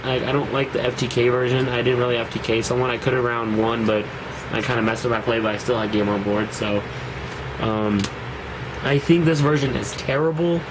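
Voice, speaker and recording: A man's voice: mid-range voice, steady tone, a little fast, background noise. A young man with a cold and a nasal voice, rambling casually through a card deck review with lots of fillers and restarts. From Seattle area. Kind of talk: casual deck profile vlog.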